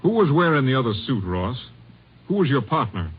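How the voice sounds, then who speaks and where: An actor playing a brisk, sceptical Texas Ranger captain in old-time radio drama, on a noisy vintage recording. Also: male voice, medium pitch, very animated, husky, narrow, tinny audio. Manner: brisk, challenging.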